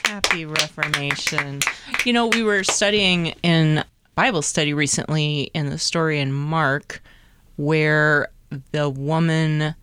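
A woman's voice: sits low, fairly even intonation, takes it slowly, fairly bright tone, slight background noise. A woman co-hosting a podcast in casual, reflective conversation. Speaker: podcast co-host.

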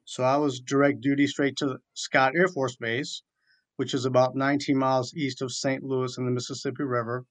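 A man's voice: medium pitch, level tone, normal speed. A man in his forties from southeastern Virginia, a chaplain, telling his story conversationally and earnestly as an interview guest. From southeastern Virginia, USA. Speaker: military chaplain, radio guest.

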